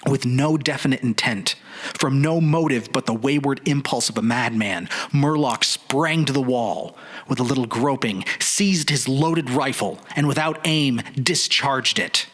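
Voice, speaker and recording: A man giving a suspenseful dramatic reading of a horror story, with deliberate phrasing and pauses. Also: mid-range voice, fairly bright tone. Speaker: podcast narrator.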